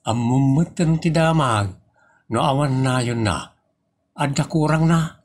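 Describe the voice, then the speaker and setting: A man's voice: mid-range voice, a little slow, close to an everyday voice. A man with a northern Philippine accent playing a teasing, evasive husband in a comedy audio drama.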